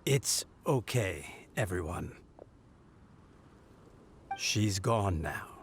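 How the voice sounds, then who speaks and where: An actor playing a gentle, sentimental old man in a video game, speaking with tender wistfulness. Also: deep voice, expressive, extremely slow, a little hiss or hum, heavily acted. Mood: wistful, comforting.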